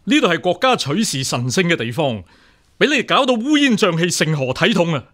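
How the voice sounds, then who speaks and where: A man with a Hong Kong Cantonese accent playing a stern, righteous imperial examiner in a radio drama, forceful and oratorical. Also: high-pitched, sing-song, fairly quick. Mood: indignant. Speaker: actor.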